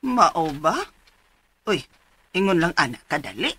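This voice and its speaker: A woman's voice: low-pitched, big pitch swings, measured pace, sharp tone, husky, no room sound, full character voice. An actress playing a doubtful, fretting middle-aged mother with a Cebuano accent in a radio drama. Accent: Filipino, Cebuano.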